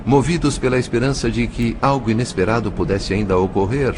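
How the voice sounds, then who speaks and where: A man with a Brazilian accent narrating an audio novel in a solemn, measured, dramatic storytelling style. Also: mid-range voice, fairly even intonation, background noise. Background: music.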